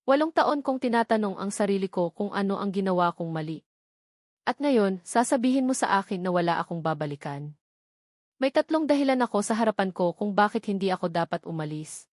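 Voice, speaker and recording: A woman's voice: mid-range voice, lively intonation, brisk, a little mellow, no echo, natural voice. An anguished male doctor from a romance audio story, voiced by a woman narrator. He speaks as a betrayed lover, wounded and angry. The recording is close and dry. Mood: bitter, angry.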